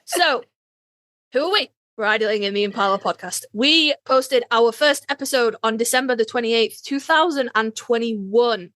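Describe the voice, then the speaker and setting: A woman's voice: on the high side, moderate pace, a bit bright, no room sound, clean studio sound. A British woman co-hosting a podcast in a casual, chatty and freely sweary way. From United Kingdom. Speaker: podcast co-host. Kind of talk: casual fandom podcast chat.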